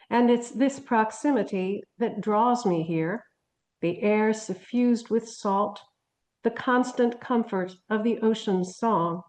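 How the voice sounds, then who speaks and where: A woman poet introducing and reading her own verse aloud with a measured, deliberate delivery. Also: a little high, darkish tone.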